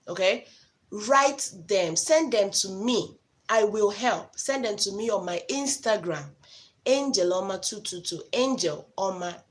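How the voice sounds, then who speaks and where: Nigerian woman, Igbo native speaker, live-stream host, frank and chatty, mid-range voice. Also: mid-range voice. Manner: frank, chatty, direct advice to viewers. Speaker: host giving relationship advice. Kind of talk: casual live-stream talk.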